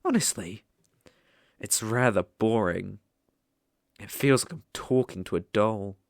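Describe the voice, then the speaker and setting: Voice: medium pitch, slow, vocal fry, no room sound, studio quality. A British-accented performer voicing a sadistic, possessive demon ruler in a scripted ASMR roleplay, with a mocking, haughty, theatrical manner. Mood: bored, mocking.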